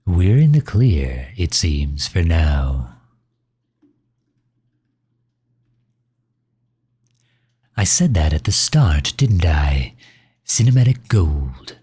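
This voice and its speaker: Man playing a very deep, husky courtly villain. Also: sing-song, warm tone, no room sound, studio quality, exaggerated voice. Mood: smug, composed. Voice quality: husky, low. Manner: formal, measured, silky endearments. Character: megalomaniac villain, courtly and gently solicitous toward injured enemy. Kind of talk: ASMR roleplay audio drama.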